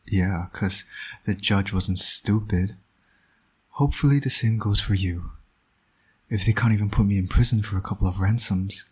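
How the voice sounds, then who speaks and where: A low, husky male voice for a roleplay audio drama , a man acting it out. He is a smug villain who flirts and needles with dry sarcasm, yet shows a fatherly soft side. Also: poor audio. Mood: defiant, casual. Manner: smug, teasing, sardonic. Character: teasing, flirtatious male supervillain, doting secret father.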